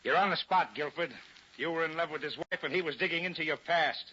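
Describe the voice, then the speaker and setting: An actor playing a weary, sardonic police lieutenant in a gruff manner on a 1940s radio drama. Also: male voice, fairly high pitch, fast, pushed and bright. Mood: stern. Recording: dull, noisy 1940s radio recording.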